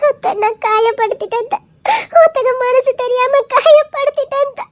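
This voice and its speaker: Actress as a tearful young woman, very high voice, sobbing apologies. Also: moderate pace. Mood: tearful pleading. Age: young adult. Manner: sobbing, pleading. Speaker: daughter apologizing to her neighbour.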